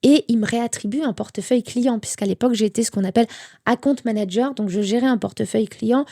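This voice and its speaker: Mellow woman telling her story. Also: a little high, fast talker. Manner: animated storytelling with quoted dialogue. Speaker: interview guest. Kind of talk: candid personal story.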